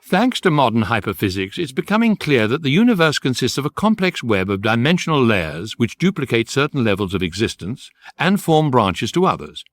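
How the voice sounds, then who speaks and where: A man playing a wry, erudite guidebook narrator, measured and precise, in a comic science fiction radio drama. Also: mid-range voice, big pitch swings, close to an everyday voice.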